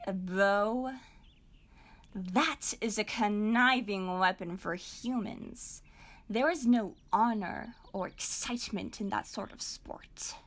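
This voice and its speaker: A woman's voice: mid-range voice, slow, lightly acted. A woman playing a haughty, mocking male royal cousin in an amateur audio drama, with a pompous, drawling, taunting delivery. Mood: disdainful.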